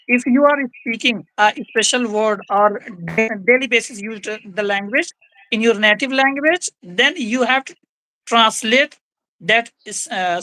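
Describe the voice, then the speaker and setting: A woman's voice: fairly high pitch, pushed and bright, poor audio. A woman explaining language-learning tips in a conversation, firm and repeating her key points. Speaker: English-speaking guest.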